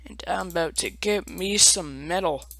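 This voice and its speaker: A man's voice: high-pitched, moderate pace, gravelly, pushed and bright, no echo. Chatty young man narrating gameplay. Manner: chatty, self-mocking, playful sing-song asides. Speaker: let's play gamer. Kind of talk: casual gameplay commentary.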